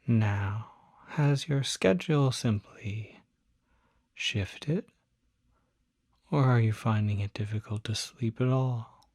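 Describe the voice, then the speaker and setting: Performer as demon doctor, ASMR roleplay, extremely slow, soft and often whispered, husky, attentive, gentle bedside manner. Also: mid-range voice, no room sound, clean studio sound. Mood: patient, probing. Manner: slow, deliberate, gentle bedside questioning with pauses. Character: calm, attentive demon doctor treating a patient.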